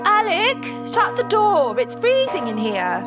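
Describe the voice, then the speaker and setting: High-voiced, provocative young woman. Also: wildly swooping pitch, unhurried, roomy sound, over loud music, full character voice. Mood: teasing. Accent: British. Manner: arch, provocative. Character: blunt, teasing young woman, older man's lover. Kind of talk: audio drama acting.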